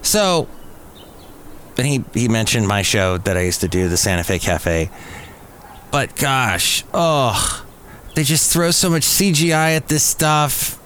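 A man's voice: medium pitch, lively intonation, slow pace, a bit bright. A man hosting a solo podcast, delivering entertainment news commentary in a wry, opinionated way. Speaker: podcast host. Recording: clear background noise and ambience.

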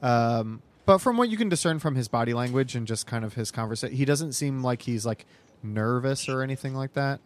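A man running a tabletop game as game master, improvising narration in a halting, informal way. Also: mid-range voice, expressive.

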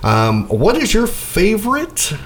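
A man's voice: sits high, huge pitch swings, slow, music in the background. A man co-hosting a casual radio show, rambling with lots of fillers and jokes. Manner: rambling, filler-heavy, joking. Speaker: podcast co-host.

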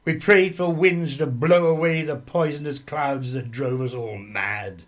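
Guy acting sombre soldier looking back on the war, really slow, mid voice, radio drama narration. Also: mid-range voice, natural voice. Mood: sorrowful. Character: sombre male war veteran recalling suffering comrades.

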